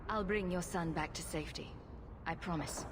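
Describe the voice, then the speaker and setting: An actress playing a confident, sardonic adventurer heroine in a video game. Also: female voice, on the low side, normal speed, close to an everyday voice. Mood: reassuring. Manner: wry, confident. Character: self-assured, wry adventurer woman, helpful but sardonic. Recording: noisy game capture with ambience.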